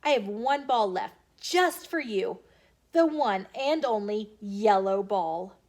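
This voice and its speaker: A woman's voice: mid-range voice, animated, slow pace, darkish tone. A woman reading the part of a coaxing, placating male schoolteacher in a children's storybook, persuading like a salesman.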